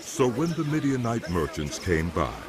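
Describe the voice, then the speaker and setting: A man giving dramatic, scripted Bible narration in a solemn, deliberate manner. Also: lowish voice, very animated, a crowd in the background. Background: music.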